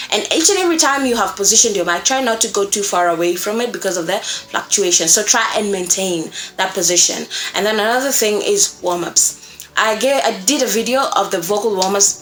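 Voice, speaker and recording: A woman's voice: medium pitch, a bit bright, poor audio. A woman from Kenya with a Kenyan accent, teaching voice acting in an earnest, coaxing way and often checking in with her listeners. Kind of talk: instructional talk to camera.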